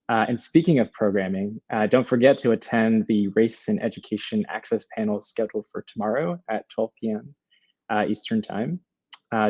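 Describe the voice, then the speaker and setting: A man's voice: lowish voice, a little mellow, no echo. A young man casually hosting an online panel over a video call with dull audio. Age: young adult. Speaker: host, festival moderator. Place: room at home, video call.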